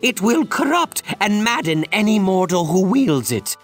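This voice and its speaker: A man playing a zealous holy priest in a fantasy game, proclaiming gravely and theatrically. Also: high voice, sing-song, a little slow, soft background music, exaggerated voice. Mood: grave warning. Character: zealous holy priest, fervent champion of the Light. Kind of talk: dramatic fantasy game acting.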